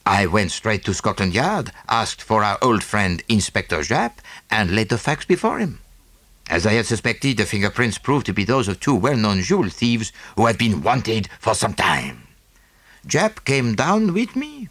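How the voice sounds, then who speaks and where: A man's voice: on the low side, very animated, unhurried, a bit bright, no room sound, full character voice. A man voicing a small, self-satisfied Belgian detective with a French-speaking Belgian accent and a didactic, theatrical manner in an audiobook. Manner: didactic, punctuated with "eh?" and French phrases.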